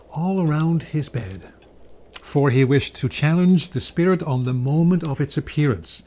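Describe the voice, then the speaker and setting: A man giving a dramatic, measured and expressive reading of a classic story as narrator. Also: medium pitch. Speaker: reader.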